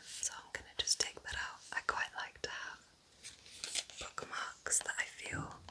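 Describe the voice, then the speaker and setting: A woman playing a kind, cosy forest witch in a whispered ASMR roleplay, breathy, gentle and chatty. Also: measured pace, lightly acted. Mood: gentle, welcoming. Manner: gentle, soothing, chatty, affectionate.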